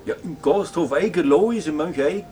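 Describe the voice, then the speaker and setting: A man's voice: a little high, normal speed, warm tone, natural voice. A man playing a jovial, attentive country innkeeper in a radio drama, with an Austrian accent and a cheery, solicitous manner. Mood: cheerful, welcoming. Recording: clear background noise.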